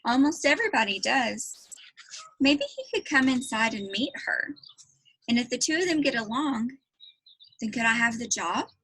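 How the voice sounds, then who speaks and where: A woman's voice: fairly high pitch, expressive, normal speed, long pauses, pushed and bright, a little hiss or hum, poor audio. A woman voicing an earnest, polite young preacher's daughter in a storybook, pleading eagerly with folksy phrasing.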